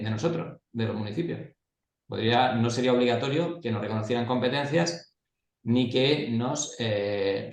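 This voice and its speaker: A man's voice: mid-range voice, a little mellow. Murcian guy teaching a class, chatty, keeps asking himself questions, roomy echo. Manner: conversational, asks and answers his own questions. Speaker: course instructor. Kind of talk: lecture to a class. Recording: echoey classroom.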